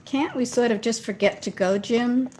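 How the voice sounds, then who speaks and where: A woman's voice: a little high, normal speed, darkish tone, natural voice. Woman acting a sweet radio-drama fiancée, faint noise. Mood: tender. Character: sweet, affectionate young fiancée, gently teasing. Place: indoors, recorded over a video call. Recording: faintly noisy home video-call recording.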